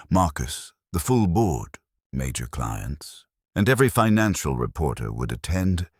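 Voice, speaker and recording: A man reading a scripted dramatic story as narrator, in a measured, solemn manner. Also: very deep voice, no room sound, clean studio sound.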